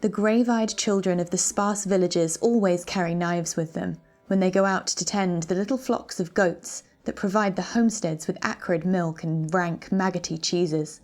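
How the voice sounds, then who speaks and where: A woman narrating a literary story in a deliberate, ominous, dramatic way, as a scripted readthrough. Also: on the low side, fairly even intonation, darkish tone. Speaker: reader of a short story. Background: background music.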